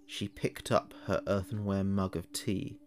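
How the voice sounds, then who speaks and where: A man narrating a scripted horror story in a measured, dramatic way. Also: sits low, level tone, a little mellow, soft background music.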